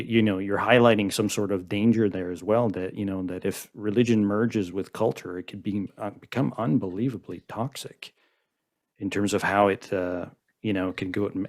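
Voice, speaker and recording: Rambling low-voiced podcast host. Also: male voice. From Montreal, Canada. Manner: rambling, many "I mean" restarts. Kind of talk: casual podcast conversation.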